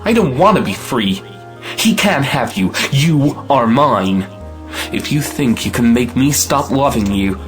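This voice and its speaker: An impetuous young suitor from an old stage comedy, acted by a man. The male voice is medium in pitch and very varied in melody, emphatic and possessive in an audio play style. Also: expressive, measured pace, soft background music, lightly acted. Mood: indignant, possessive.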